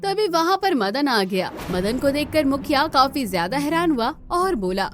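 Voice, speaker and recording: A woman's voice: high voice, sing-song, normal speed, a bit bright, a little hiss or hum. A woman narrating a scripted cartoon story as the storyteller.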